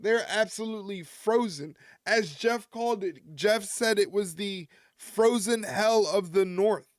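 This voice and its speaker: A man's voice: high voice, unhurried, dry recording, clean studio sound. A man hosting a wrestling live stream, rambling and joking with his chat and often chuckling. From United States.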